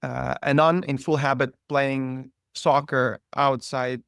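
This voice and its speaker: A man from Ukraine with a Ukrainian accent, a priest telling his life story as an interview guest in a relaxed, conversational way with many fillers. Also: mid-range voice, takes it slowly, gravelly, clean tone, no room sound, clean studio sound. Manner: conversational, frequent fillers and restarts.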